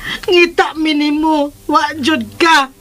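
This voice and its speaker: A woman's voice: high voice, steady tone. A woman playing a mentally unwell, childlike middle-aged mother in a radio drama, speaking in simple, clingy phrases. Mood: tearful, complaining. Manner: childlike, simple, slow phrasing with frequent giggles. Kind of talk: Philippine radio drama acting.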